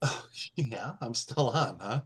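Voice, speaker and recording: A man's voice: medium pitch, expressive, slow pace, a bit bright, husky. A man on a panel call, teasing the others in an amused way. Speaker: panel guest. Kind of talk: casual panel chat.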